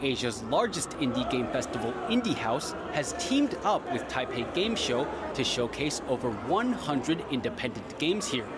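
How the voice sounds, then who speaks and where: A man reporting a light feature story in a playful, animated way. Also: mid-range voice, background noise.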